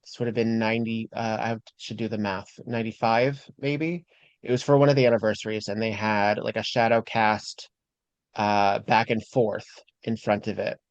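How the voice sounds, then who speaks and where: A man in his forties hosting a podcast, talking in an animated, chatty way and laughing easily. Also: lowish voice, normal speed, no room sound. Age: 40s. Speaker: podcast host. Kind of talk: casual interview chat. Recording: dull lo-fi dry sound.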